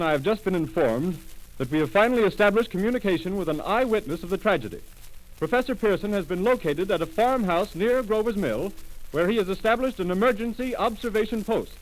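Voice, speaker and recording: An actor playing a radio announcer reading urgent news bulletins in a crisp manner, in a 1930s radio drama. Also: male voice, sits high, expressive, fast. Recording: scratchy 1930s radio recording.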